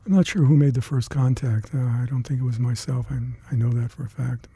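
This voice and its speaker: Older man, gravelly and dark, halting recall. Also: mid-range voice, steady tone, dry recording. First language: Hungarian. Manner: halting, reflective, many fillers and restarts. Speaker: interviewee, retired scientist. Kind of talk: oral history interview.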